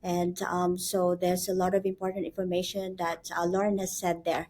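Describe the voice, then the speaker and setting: A woman's voice: lowish voice, flat delivery, normal speed, darkish tone. A woman hosting a webinar for nurses in a chatty, encouraging way, with lots of asides and fillers. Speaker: host.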